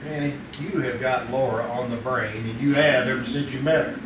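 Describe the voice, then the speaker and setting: An actor playing a skeptical, wisecracking newspaper photographer in an old-time radio drama. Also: male voice, mid-range voice, fairly even intonation, moderate pace, lightly acted. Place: community theater stage. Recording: roomy, noisy, distant live stage recording.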